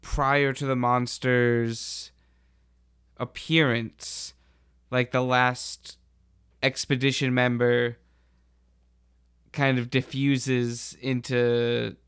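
Unhurried, clear-voiced man chatting about film. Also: medium pitch, flat delivery, fairly bright tone, no room sound, studio quality. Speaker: podcast panelist. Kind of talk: casual film discussion.

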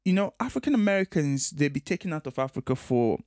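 A man's voice: on the high side, big pitch swings, quick pace, darkish tone, dry recording, studio quality. A man from Nigeria, hosting a podcast conversation and reasoning aloud, often restarting and repeating phrases as he works through an idea. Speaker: podcast host and author.

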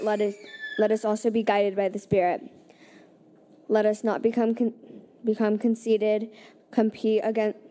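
A woman reads scripture aloud during a church service, stumbling and correcting herself as she goes. Her voice is mellow and warm, with a fairly flat, even delivery. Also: mid-range voice. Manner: halting, with restarts. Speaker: scripture reader.

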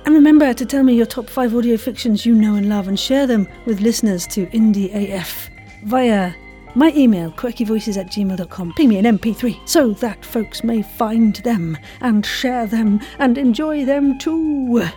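A woman's voice: on the high side, moderate pace, mellow, soft background music. A woman hosting a podcast in a chatty, effusive, playful way. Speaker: podcast host.

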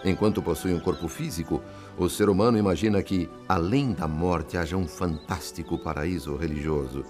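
An actor with a Brazilian accent playing a wise, kindly spirit friend in a radio drama, speaking in a theatrical, didactic way. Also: male voice, low voice, normal speed, over music, close to an everyday voice. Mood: earnest, instructive. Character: wise, kindly male spirit friend narrating his afterlife story.

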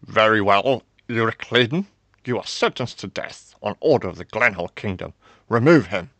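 A man's voice: medium pitch, wildly swooping pitch, measured pace, a bit bright, dry recording, voice pushed up, heavily acted. A man voicing a stern, imperious king and angry father in a fantasy story. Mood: furious command. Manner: firm, imperious, roaring commands.

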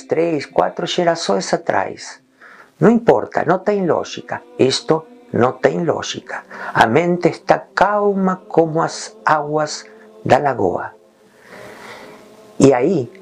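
A man leading a guided meditation in calm, gentle short phrases with long pauses. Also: on the high side.

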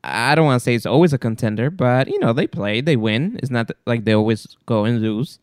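A man's voice: medium pitch, lively intonation, dry recording, clean studio sound. A man from Guatemala co-hosting a podcast, speaking English with a Mexican accent in an earnest, encouraging way. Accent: Mexican Spanish-tinged English. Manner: earnest, encouraging, slightly halting phrasing. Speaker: podcast co-host. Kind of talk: casual chat.

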